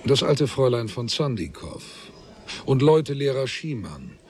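A man narrating a literary radio drama in a deliberate, scripted manner. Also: mid-range voice, very animated, darkish tone, slight background noise.

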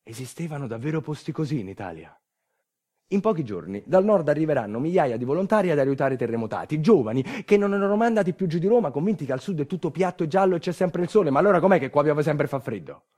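A man's voice: fairly high pitch, animated, quick pace, warm tone. A man performing a theatrical narrative monologue in a wry, rhetorical manner, with colloquial asides and refrains.

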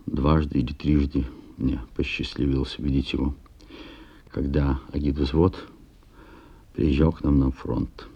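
Very deep, husky man, dull recording. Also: flat delivery, unhurried, pauses often, warm tone. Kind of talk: spoken reminiscence.

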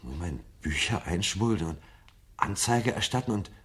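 An actor playing a restless, idealistic rebel in a German radio drama, speaking in an earnest, pleading way. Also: male voice, fairly low pitch, expressive, normal speed, noisy background, close to an everyday voice. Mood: urgent, excited. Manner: urgent, pleading, insistent.

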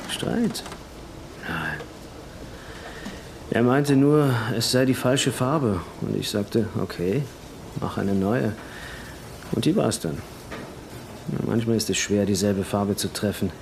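A low-pitched, offhand garage worker in a radio crime drama. He talks casually with a callous, guarded edge. Clear workshop noise sits behind him. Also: lively intonation, slow, long pauses, exaggerated voice. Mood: defensive. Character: casual, bigoted male auto body painter.

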